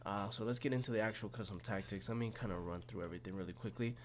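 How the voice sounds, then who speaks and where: A man with a slightly raspy, snuffly voice from being sick, casually walking through football game tactics with lots of fillers and restarts. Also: on the low side, rapid-fire, slight background noise. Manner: rambling, many fillers and restarts. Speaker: gaming YouTuber.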